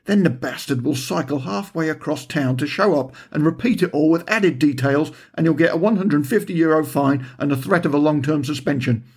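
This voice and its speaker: A man's voice: fairly high pitch, brisk, hardly any pauses, darkish tone, breathy edge. A man voicing a grumbling, combative amateur football coach with a moaning, pouting manner in an audiobook story. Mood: scornful warning.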